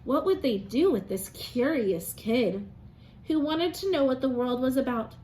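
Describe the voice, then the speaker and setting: A woman reads aloud to young children as a teacher, in a sing-song, expressive way, pausing to prompt answers from her listeners. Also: high-pitched, low-quality recording.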